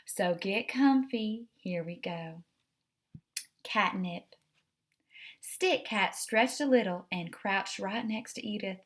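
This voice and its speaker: A woman's voice: medium pitch, slow, a bit bright. A woman hosting a read-aloud, narrating a children's storybook expressively and addressing young listeners directly.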